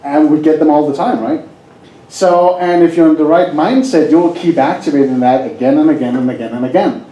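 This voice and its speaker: Danish-accented male seminar speaker, jokey and chatty, mellow tone, roomy echo. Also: a little high, brisk, muffled recording. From Denmark. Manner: jokey, asks the audience questions. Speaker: hypnosis presenter. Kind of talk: live seminar talk.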